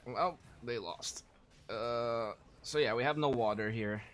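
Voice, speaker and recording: A young man from Brazil, chatting casually while streaming a game, thanking viewers and thinking out loud. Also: medium pitch, slow pace, a little hiss or hum. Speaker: streamer and caster. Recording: faint music behind, weak mic.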